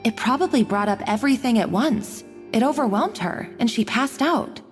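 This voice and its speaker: A woman's voice: on the high side, lively intonation, moderate pace, big-room sound, soft background music, natural voice. A woman voicing an anxious, apologetic young kitchen worker in a video game, earnest and hesitant in her delivery. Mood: earnest, explanatory.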